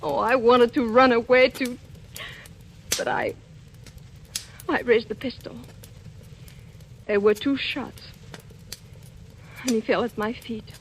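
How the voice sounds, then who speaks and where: A woman's voice: on the high side, fairly even intonation, slow, pauses often. An actress playing a guilt-ridden, tragic woman assassin in a 1940s radio suspense drama, in a melodramatic style. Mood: anguished, pleading. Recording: noisy old radio recording.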